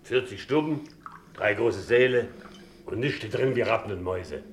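Casting: male actor for earthy, grumbling stable worker, radio play, fairly deep, slight background noise. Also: normal speed, close to an everyday voice. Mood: mocking. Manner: dry, rustic, terse. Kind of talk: stage-style German radio drama acting.

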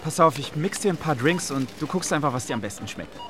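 A man's voice: a little high, expressive, fast talker, noisy background, close to an everyday voice. An actor playing a teasing, earnest young man in dialogue from a literary radio play. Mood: playful, reassuring. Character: Friedrich, bantering, caring young man, second-generation immigrant in Germany.

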